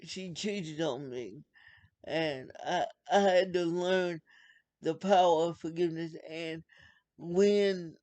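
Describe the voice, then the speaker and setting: A man's voice: a little high, takes it slowly, darkish tone. A young man from North Carolina, speaking earnestly and unhurriedly to camera, often repeating himself. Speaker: video blogger. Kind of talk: casual direct-to-camera talk.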